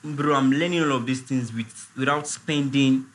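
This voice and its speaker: A man, chatting casually as a guest on a talk show, relaxed and repeating his words. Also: medium pitch, normal speed. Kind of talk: casual chat.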